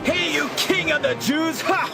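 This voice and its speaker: An actor playing a hostile, jeering man in a mob, yelling in a Bible drama. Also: very high-pitched, a little slow, creaky, full character voice. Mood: mocking. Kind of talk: dramatized audio Bible acting. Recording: loud music and crowd noise.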